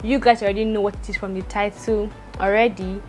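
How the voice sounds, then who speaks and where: A young woman vlogging from home, talking very fast with a stutter and repeating words. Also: mid-range voice, steady tone, darkish tone, soft background music. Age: young adult. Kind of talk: personal vlog talk to camera. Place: indoors at home, rain outside.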